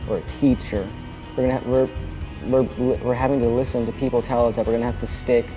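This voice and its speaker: Earnest young man, mellow voice. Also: medium pitch. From Alabama, United States. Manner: earnest, reflective, pausing to restart phrases. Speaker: speaker telling his own story. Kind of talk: personal testimony speech.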